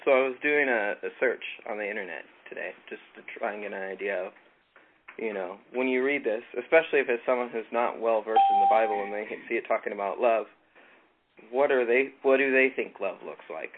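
Low-voiced man teaching scripture over a tinny line. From California. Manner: relaxed, halting with frequent ums. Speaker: Bible study teacher. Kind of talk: casual Bible teaching. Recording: tinny phone-like line with faint noise.

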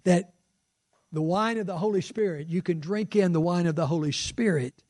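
A man's voice: high voice, normal speed, darkish tone, no room sound, studio quality. A man in his sixties preaching a sermon as pastor, conversational and fervent, with rising exclamations. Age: 60s. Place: church sanctuary.

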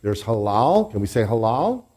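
A man's voice: fairly low pitch, big pitch swings, normal speed, darkish tone, slightly rough. A man preaching and teaching a congregation in a church, leading them in call-and-response and group praise. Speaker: pastor. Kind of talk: sermon teaching.

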